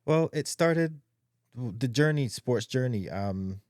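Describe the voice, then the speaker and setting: A man, a guest speaking casually in an interview, soft-spoken and hesitant with many ums and repeated words. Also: medium pitch, expressive, slow, dry recording, studio quality. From Barbados. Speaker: guest, para-bobsleigh athlete.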